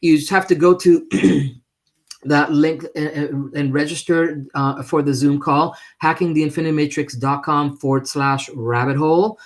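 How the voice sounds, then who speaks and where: Halting man hosting a livestream. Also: on the high side, fairly even intonation. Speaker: live stream host. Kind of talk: casual unscripted livestream talk.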